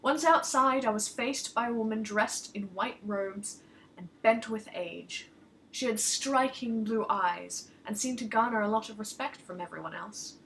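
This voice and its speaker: A woman narrates a first-person tale in expressive storytelling style. Her voice sits a little high and is very bright and forward. She reads as an author sharing her own fiction. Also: unhurried, creaky, slight background noise, rough recording. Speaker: author reading own short story aloud.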